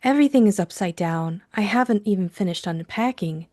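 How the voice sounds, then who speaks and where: A woman's voice: medium pitch, animated, a little fast, dry recording, studio quality, natural voice. Woman playing a timid, quivering, anxious young lady. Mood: timid, apologetic. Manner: hushed, hesitant, quivering, stammering. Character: timid, troubled young female client, anxious and pleading. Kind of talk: audiobook character acting.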